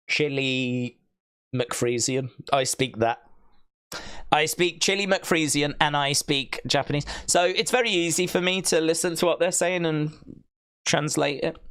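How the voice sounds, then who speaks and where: A man hosting a casual livestream, chatting to viewers in a rambling, joking way with deadpan asides. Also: a little high. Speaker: livestream host. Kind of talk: casual watch-along chat, reading viewer comments.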